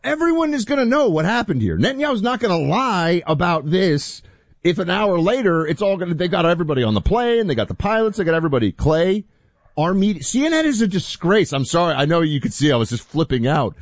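Male talk radio host, dry studio sound, gets heated and indignant fast. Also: big pitch swings, dry recording. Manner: heated, indignant, voice climbing high when outraged.

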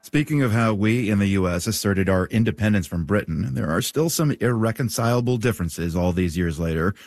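Low-voiced male radio co-host. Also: lively intonation, brisk, a little mellow, dry recording. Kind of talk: scripted news presenting.

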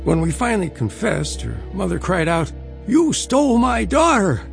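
A man's voice: a little high, big pitch swings, moderate pace, creaky, full character voice. An actor playing a devout older father, a reformed drinker, narrating his life story in an earnest, emotive way for an audio drama. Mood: wry, reflective. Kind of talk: dramatized first-person narration. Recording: music bed under the voice.

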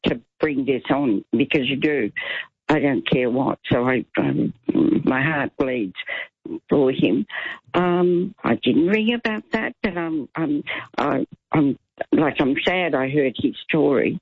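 A woman's voice: extremely low pitch, lively intonation, slow, breathy edge, dry recording, phone-quality sound. A woman in her seventies calling in to a talk radio show, chatty and rambling, getting indignant at times. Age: 70s. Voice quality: loud voice. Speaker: caller. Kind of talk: talkback radio call.

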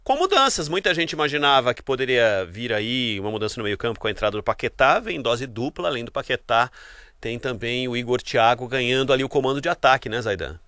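A man's voice: mid-range voice, animated, fairly quick, pushed and bright, dry recording. A man with a Brazilian accent hosting a casual sports chat on the radio. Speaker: radio sports show host.

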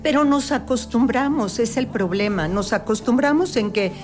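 A woman's voice: fairly high pitch, normal speed, a little mellow, faint music behind. A woman on a talk show, patiently explaining health topics with everyday examples. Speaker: health guest expert.

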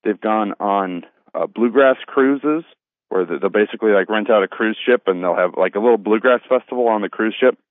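A man's voice: fairly low pitch, brisk, no echo. A man speaking over the telephone as an interview guest, earnest and polite in a conversational style. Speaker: phone-in guest, festival organiser. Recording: thin, narrow phone line.

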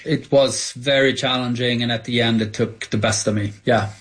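A man's voice: medium pitch, fairly even intonation, moderate pace, a bit bright, breathy edge. A middle-aged man whose first language is Swedish, a company founder answering radio interview questions candidly and searching for words at times.

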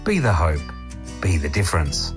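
A man giving a gentle, earnest ad voice-over. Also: sits low, huge pitch swings, normal speed. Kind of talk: scripted ad narration. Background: music.

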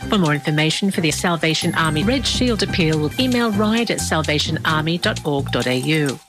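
Low-voiced woman community announcer. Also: lively intonation, a little fast. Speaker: announcer reading a charity notice. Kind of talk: scripted announcement. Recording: announcement over loud music.

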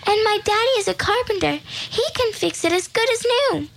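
A woman's voice: very high-pitched, animated, moderate pace, creaky, fairly bright tone, a little hiss or hum, pitched above the performer's own voice, full character voice. A woman voicing a polite, apologetic young girl in a storybook reading.

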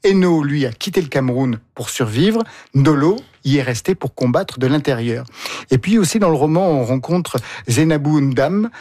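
Un homme qui anime un entretien littéraire et présente les livres avec aisance. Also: male voice, fairly high pitch, animated, moderate pace, mellow, no room sound, clean studio sound. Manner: articulate, presents books at length. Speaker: host. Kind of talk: literary interview.

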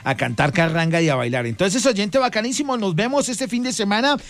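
A man's voice: high voice, animated, a little fast, fairly bright tone, no room sound. A man with a Colombian accent from Boyacá, hosting an informal radio interview in a chummy, colloquial way. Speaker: radio host.

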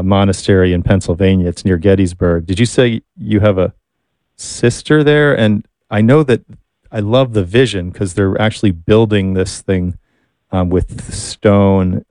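A man's voice: low-pitched, dark tone, dry recording. A man hosting an interview, asking long, rambling questions. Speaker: host. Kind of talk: casual interview.

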